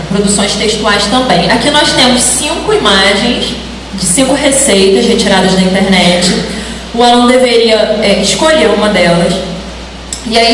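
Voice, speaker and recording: A woman's voice: fairly high pitch, slight background noise. A woman giving an academic talk to an audience, explaining patiently and often checking in with her listeners. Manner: explanatory, with frequent "né?" tags. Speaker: teacher presenting a research project. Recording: echoey hall, mic far off.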